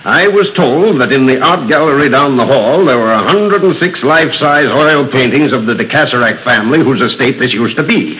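Male actor as a boastful rich dad, lively and bright; old radio drama. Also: mid-range voice, fairly quick, hardly any pauses, lo-fi sound, lightly acted. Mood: blustering. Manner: loud, boastful, folksy. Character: brash rich older American father, blustering. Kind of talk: 1930s radio drama acting. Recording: noisy old radio recording.

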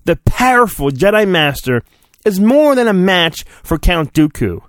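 A man's voice: high-pitched, big pitch swings, a little slow, a bit bright, no echo, clean studio sound. A man hosting a podcast, giving a mock-dramatic storybook reading and breaking off for irreverent, crude asides. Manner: theatrical, irreverent, often breaking off to comment. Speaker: podcast host.

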